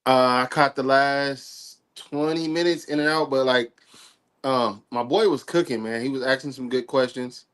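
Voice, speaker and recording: A man in his forties, a bit sick and nasal, talking in a relaxed, slangy way on a live stream chat. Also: mid-range voice, normal speed, clear, resonant tone. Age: 40s. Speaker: guest on a live stream talk. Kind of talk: casual chat.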